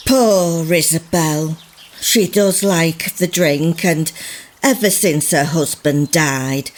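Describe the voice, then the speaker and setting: A woman's voice: low-pitched, exaggerated voice. An actress playing a gossipy village woman who confides in the listener with mock sympathy in a comic audio drama.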